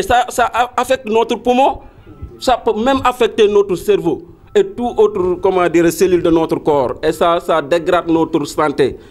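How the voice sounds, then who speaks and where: A man's voice: a little high, darkish tone. A man with a Senegalese accent making an impassioned statement to the local press, mixing Wolof and French and repeating his points. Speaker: protesting villager.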